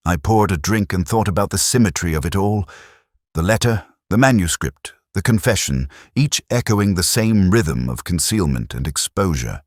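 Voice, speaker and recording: Man acting as world-weary male detective, first-person drama narration, extremely low pitch, wistful, measured, dry close sound. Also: expressive, no room sound. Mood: brooding, reflective. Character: melancholy, reflective middle-aged male detective narrating his case.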